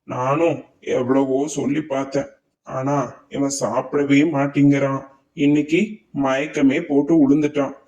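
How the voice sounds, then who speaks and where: Casting: man as concerned crow pal, kids' cartoon, even-toned, mellow delivery. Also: mid-range voice, lightly acted. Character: concerned older-brother crow friend of a sparrow family. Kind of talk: children's cartoon story acting. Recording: dull, slightly distant sound.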